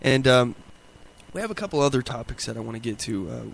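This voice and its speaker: A man hosting a casual political podcast while sick and losing his voice, halting and repeating words often. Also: medium pitch, expressive, gravelly, a little hiss or hum. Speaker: podcast host. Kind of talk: casual political talk show.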